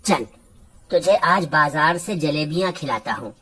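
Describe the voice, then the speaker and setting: A woman's voice: low-pitched, animated, a little slow, a bit bright, breathy edge, pitched below the performer's own voice, exaggerated voice. A woman voicing a shifty, boastful village man in a cartoon, with a smug manner. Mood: smug, jovial.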